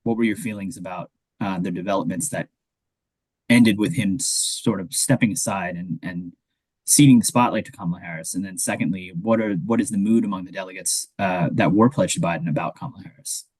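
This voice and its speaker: A man moderating an interview, asking long questions with halting ums and uhs. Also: deep voice, a little mellow, slightly rough, no echo, low-quality recording.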